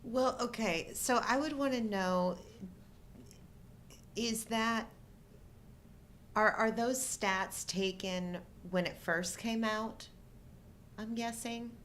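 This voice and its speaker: A woman's voice: on the high side, takes it slowly, long pauses, a bit bright, a little hiss or hum. A middle-aged woman co-hosting a casual film chat, conversational and playful as she thinks aloud. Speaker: co-host of a live show. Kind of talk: casual chat about films.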